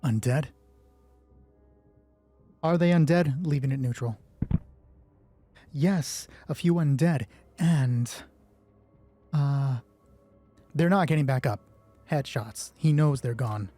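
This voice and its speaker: A man's voice: medium pitch, slow, long pauses, mellow, dry recording, soft background music. A man hosting a solo tabletop roleplay, improvising narration and thinking aloud as he corrects himself. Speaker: solo roleplay host and game master.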